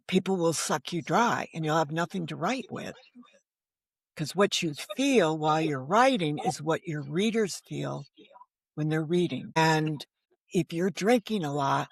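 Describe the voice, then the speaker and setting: A woman's voice: low-pitched, dry recording. An older American woman from Connecticut, around seventy, talking candidly as an interview guest in an emphatic, anecdotal way with rhetorical tag questions. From Connecticut, United States. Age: older, about 70. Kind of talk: candid conversational storytelling.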